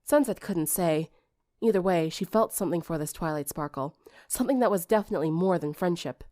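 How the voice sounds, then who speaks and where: A woman's voice: on the low side, animated, a little fast, mellow, dry recording, studio quality. A woman reading scripted fiction as an audiobook narrator.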